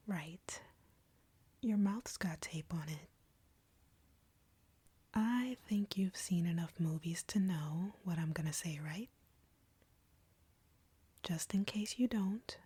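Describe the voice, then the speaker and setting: A woman playing an arrogant, cruel, flirty female vampire captor in an audio roleplay, taunting in an intimate, breathy, husky whisper. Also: fairly low pitch, dark tone, dry recording, heavily acted. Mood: mocking, menacing. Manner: taunting, slow, deliberate, drawling.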